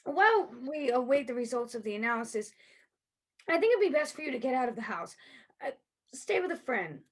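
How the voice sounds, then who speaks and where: A woman acting a high-voiced private investigator. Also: big pitch swings, a little fast. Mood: placating. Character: female private investigator, outwardly sympathetic, secretly scheming. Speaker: actor in a play workshop. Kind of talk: dramatic stage play reading.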